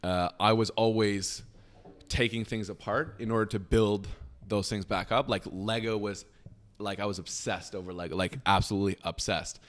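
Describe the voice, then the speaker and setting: A young man chatting casually as a podcast guest, rambling with lots of fillers and trailing off quietly. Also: fairly low pitch, steady tone, normal speed. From Canada. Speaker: podcast guest, business founder. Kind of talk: casual conversation.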